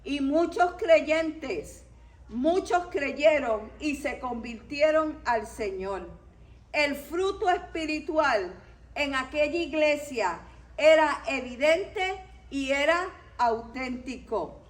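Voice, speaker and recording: A woman's voice: sits high, lively intonation, slow. A woman from Puerto Rico with a Puerto Rican accent, teaching a Bible study to a church group in a didactic way, stressing key points.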